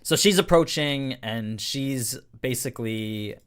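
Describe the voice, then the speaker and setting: A young man streaming live, chatting casually with a slightly hoarse voice, rambling with fillers and restarts while he reads the chat. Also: mid-range voice, slow, a bit bright.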